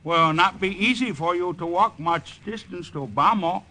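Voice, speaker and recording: An actor playing a stoic village guide in an old radio drama, in clipped broken English. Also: male voice, high-pitched, normal speed, over music, natural voice. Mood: calm, instructive. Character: calm, capable male jungle villager guide, stoic and practical. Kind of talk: 1940s-50s radio drama acting. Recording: noisy vintage radio recording.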